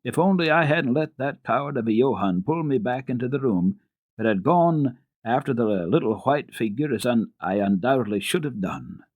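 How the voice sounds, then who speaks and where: A man voicing an astonished, slow-to-catch-on servant in a storybook, exclaiming and muttering to himself. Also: medium pitch, lively intonation, no echo, narrow, tinny audio, close to an everyday voice. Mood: muttering, regretful.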